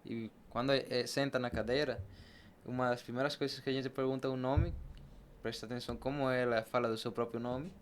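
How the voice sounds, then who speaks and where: A man's voice: mid-range voice, steady tone, brisk. A man, a Spanish speaker, talking casually and explaining things with examples as an interview guest. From Venezuela. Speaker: podcast guest, barber. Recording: noticeable background noise and ambience.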